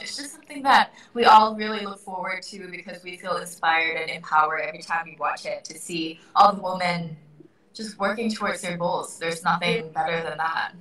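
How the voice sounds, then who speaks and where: A woman's voice: fairly low pitch, pushed and bright. A young woman from Thailand, a guest on a video call interview, speaking in an earnest, upbeat way. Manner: earnest, upbeat, laughs often. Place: indoor room by a window. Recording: echoey room, far from the mic.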